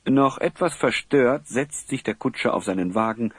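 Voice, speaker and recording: A man playing the storyteller of a fantasy audio drama, narrating dramatically from a script with a husky voice. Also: medium pitch, expressive, normal speed, background noise, natural voice. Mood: dramatic.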